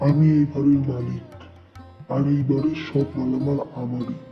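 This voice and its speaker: A man's voice: mid-range voice, level tone, normal speed, gravelly, natural voice. A man playing a matter-of-fact village man in cartoon dialogue, recorded with echo and dull lo-fi sound. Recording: echoey, dull lo-fi sound, music behind.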